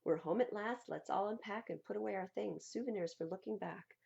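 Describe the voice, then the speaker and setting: A woman reading a picture book aloud at a library storytime in a playful, theatrical way, with a husky voice. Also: medium pitch, fairly quick, warm tone, highs cut off, a little hiss or hum. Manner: playful, theatrical, stresses and repeats words for young listeners. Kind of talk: expressive read-aloud of children's picture books.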